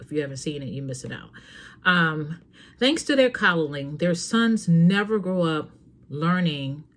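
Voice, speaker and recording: A woman hosting a talk show, giving earnest, frank parenting advice straight to her listeners in a casual, preachy way. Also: sits low. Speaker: host.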